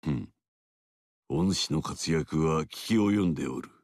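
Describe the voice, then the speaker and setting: A proud warlord from a historical action game, and his voice sits very low. He speaks slowly and formally, with calm authority. The recording is dry and close to the mic. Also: no room sound. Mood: commanding. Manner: measured, authoritative, formal speech. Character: commanding male warlord, middle-aged, proud. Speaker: game character. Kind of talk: video game cutscene dialogue.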